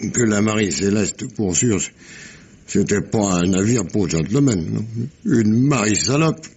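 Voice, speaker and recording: An actor playing a very old, sly sailor in a French radio mystery drama, with a husky, wheezy voice and a halting storyteller's delivery. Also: male voice, on the low side, darkish tone. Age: 80s. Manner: halting, chuckling, salty storyteller with hums and asides.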